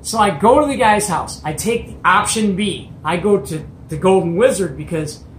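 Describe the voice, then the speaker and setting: A middle-aged American man with a Midwestern accent speaks in an echoey room. He tells a story in a casual, conversational way, animated and slangy, often checking in with rhetorical questions. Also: sits high, moderate pace, slight background noise. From Detroit area, United States. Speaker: host of a CB radio show-and-tell. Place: small cluttered indoor radio room. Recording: echoey room, mic at a distance.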